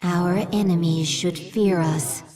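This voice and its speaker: A zealous alien servant creature. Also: female voice, fairly low pitch, steady tone, takes it slowly, a little mellow, recorded from a distance. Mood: fervent. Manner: fervent, chanting declarations. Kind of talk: video game cinematic.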